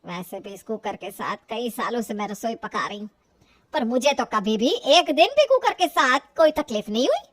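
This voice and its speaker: A stubborn elderly mother-in-law played by a woman in an audio storybook. She is high-voiced and indignant, grumbling and scolding as she goes. The recording is dry and close. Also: sing-song, moderate pace, no room sound. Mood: angry, scolding. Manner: indignant, grumbling, talks to herself.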